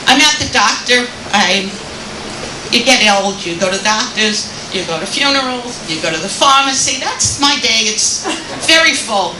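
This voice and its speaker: A woman's voice: a little high, expressive, moderate pace, echoey room, distant mic, noisy background. An older woman from Brooklyn with a New York accent, doing a rambling, self-mocking stand-up set in a comedy club and teasing the audience.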